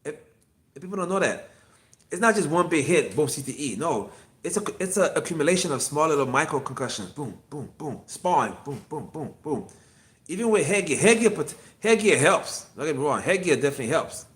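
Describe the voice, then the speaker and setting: A man's voice: a little high, big pitch swings, a little fast, fairly bright tone. A man in his fifties, an acupuncture physician, talking casually on a solo livestream from his clinic, rambling and repeating himself as he answers viewers. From Miami. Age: 50s.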